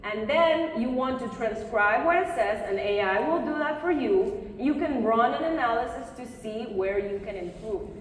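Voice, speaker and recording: Woman conference speaker, encouraging and direct, raised pitch, heard through heavy room echo. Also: expressive, normal speed, few pauses. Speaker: public speaking coach giving a conference talk. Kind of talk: live talk with audience questions, coaching advice. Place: conference session room before a live audience. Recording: very echoey hall, far microphone, faint noise.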